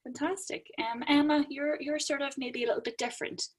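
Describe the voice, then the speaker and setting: A woman's voice: high-pitched, fairly even intonation, brisk. A woman lecturer hosting a casual podcast chat with students, often repeating words as she thinks.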